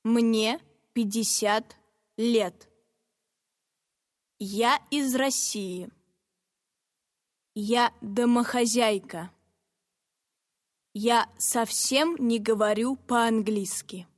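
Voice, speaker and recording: A woman reads short monologues for a language listening test, spacing every phrase out very slowly and clearly. Her voice sits a little high. The close, dry studio sound carries a long reverb tail. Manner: deliberate, evenly spaced phrasing. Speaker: voice actor for a listening exam. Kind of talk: slow, carefully read exam monologue.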